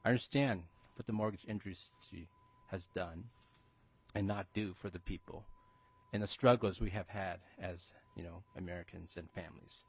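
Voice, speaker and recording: A man's voice: low-pitched, moderate pace, slightly rough, no room sound. A man from Minnesota giving an upbeat, personal introduction at a committee hearing. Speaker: state representative.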